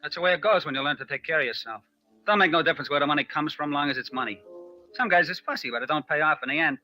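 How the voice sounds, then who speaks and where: An actor playing a guarded, tough young racetrack loner in old-time radio drama, speaking in terse, slangy, clipped phrases. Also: male voice, a little high, very fast, bright, forceful tone, lo-fi sound, soft background music. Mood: hard-boiled narration. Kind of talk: old-time radio drama acting, hard-boiled narration.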